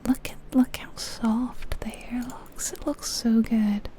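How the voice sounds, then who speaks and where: Softly whispering woman, ASMR style. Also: a little high, fairly even intonation, slow pace. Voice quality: breathy unvoiced whisper. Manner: gentle, chatty musing. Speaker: ASMR video host. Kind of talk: ASMR whispering, casual game commentary.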